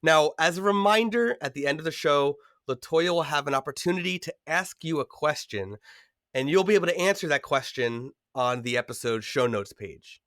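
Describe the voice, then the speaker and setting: A male podcast host talking casually with a stuffy, nasal voice from a cold. He rambles a little, with fillers and quick laughs, at an average pitch. The sound is close and dry. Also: expressive, fairly bright tone, no echo. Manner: chatty, filler-heavy, laughs often.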